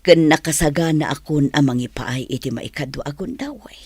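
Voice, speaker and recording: An actress playing a gentle, forgiving married teacher in a radio drama, speaking softly and earnestly. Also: female voice, low voice, normal speed, husky, no room sound. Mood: tender, forgiving.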